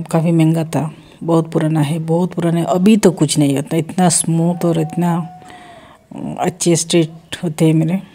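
A woman's voice: on the low side, warm tone. A woman recording a casual home vlog about a product, chatting in a rambling way with many restarts and English words mixed in. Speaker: vlogger reviewing a product. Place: small room at home, indoors.

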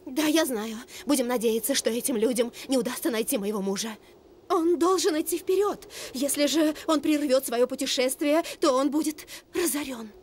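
A woman voicing a gentle, dignified cat princess in a cartoon. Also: sits high, moderate pace, a little hiss or hum, lightly acted. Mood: worried. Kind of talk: dubbed cartoon acting.

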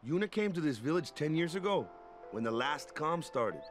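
An actor playing an easygoing, big-brotherly young island ballplayer in a video game, talking in a casual, folksy way. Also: male voice, a little high, very animated, moderate pace. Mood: explaining. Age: young adult. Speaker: guardian and older friend. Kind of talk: video game cutscene acting.

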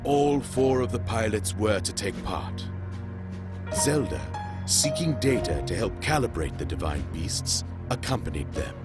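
A man playing a solemn storyteller, narrating a fantasy game legend in a grave, measured way. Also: fairly low pitch, lively intonation. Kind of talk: scripted game cutscene narration. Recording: music behind the voice.

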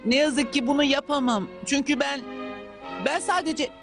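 High little anime boy, polite but determined, faint music behind. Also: normal speed. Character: polite, determined young boy soccer player. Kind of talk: dubbed anime acting.

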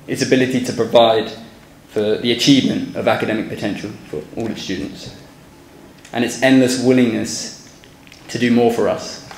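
A man's voice: mid-range voice, a little mellow. Young man speaking sincerely from a podium. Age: young adult. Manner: measured, sincere, some hesitant restarts. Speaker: former student speaker. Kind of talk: prepared speech at a podium. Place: school hall stage. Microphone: podium microphone. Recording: echoey hall, faint background noise.

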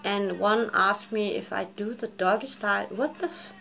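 A woman's voice: medium pitch, normal speed, creaky, pushed and bright, faint music behind. A woman in her forties with a Japanese and Danish accent, chatting candidly to a webcam at home in a dim living room, rambling, laughing often and cursing freely. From Japan and Denmark. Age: 40s. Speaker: vlogger. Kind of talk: casual chat to camera.

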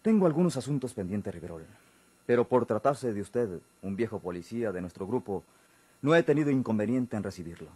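A Mexican actor playing a stern, dismissive police chief in a golden-age radio drama, speaking formally and with curt authority. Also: male voice, fairly low pitch, sing-song, a little fast, darkish tone, slightly rough, dry recording. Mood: blunt, authoritative.

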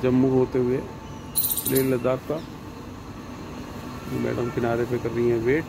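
A man's voice: mid-range voice, measured pace, creaky. A man with a Delhi Hindi accent, hosting his channel, gives casual on-the-spot commentary while filming a roadside send-off on a phone. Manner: plain, flat-toned narration, repeats phrases. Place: outdoors by a road, traffic around. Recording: loud traffic and engine noise. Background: idling vehicle engines.

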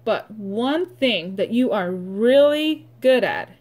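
Slow-paced woman teaching young children. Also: fairly high pitch, animated, darkish tone. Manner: instructional, short simple phrases with pauses. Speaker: preschool teacher. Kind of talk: children's read-aloud and vocabulary drill.

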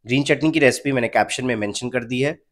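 A young man narrates a cooking video in a calm, even, instructional manner. His voice sits at an ordinary middle pitch, and he explains each step plainly for viewers following along at home. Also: quick pace. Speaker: cooking channel host. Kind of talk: recipe voice-over narration.

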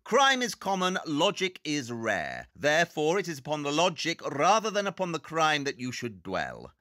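Aloof male British detective, played by an actor: pedantic, formal, hugely animated intonation, dry studio sound. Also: fairly high pitch, moderate pace, no room sound, exaggerated voice. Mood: superior, lecturing. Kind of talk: audio drama acting.

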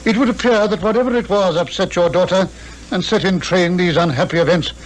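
An actor playing an imperious psychiatrist-detective with an upper-class British accent, precise and pompous, in 1950s radio drama style. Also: male voice, sits high, a little fast, natural voice. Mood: probing. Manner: precise, pompous, clipped formal phrasing. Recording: noisy 1950s radio recording.

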